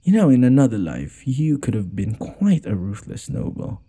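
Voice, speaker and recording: Noble lord for fantasy audio roleplay, male actor: haughty yet caring, soft-toned and husky, theatrical, exasperated sarcasm. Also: mid-range voice, very animated, normal speed. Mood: bitter sarcasm. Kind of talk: scripted audio roleplay addressed to the listener.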